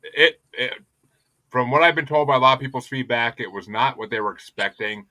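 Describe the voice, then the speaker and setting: A man's voice: mid-range voice, fairly quick, sharp tone, no room sound. A man hosting a casual music podcast, speaking in an emphatic, repetitive, opinionated way with plenty of swearing. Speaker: podcast host and panelist.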